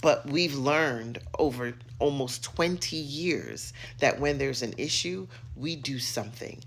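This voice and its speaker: A middle-aged American woman giving an opinionated rant to camera, emphatic, sassy and theatrical, with dramatic pauses and drawn-out words. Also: extremely low pitch, lively intonation, gravelly. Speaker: social media commentator.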